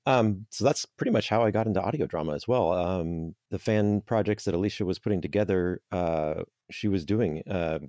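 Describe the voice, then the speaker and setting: A man's voice: low voice, normal speed, hardly any pauses, warm tone, no room sound, clean studio sound. A man speaking as a guest in a casual interview, rambling a little and repeating words as he thinks aloud. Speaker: interview guest, voice actor and audio drama creator.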